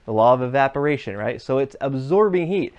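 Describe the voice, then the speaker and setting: A man's voice: medium pitch, expressive, a little fast, darkish tone, muffled recording. Man teaching a hands-on trade class. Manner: explains step by step, asks the viewer questions. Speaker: HVAC instructor. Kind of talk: classroom demonstration lesson. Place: classroom workshop with equipment, indoors. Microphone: fixed camera, standing at mid distance.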